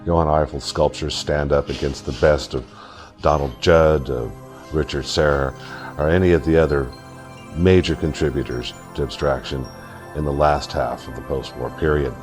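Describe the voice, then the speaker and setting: Extremely deep-voiced documentary speaker. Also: male voice, steady tone, moderate pace, a little mellow, soft background music. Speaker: commentator on the artist. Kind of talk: documentary commentary.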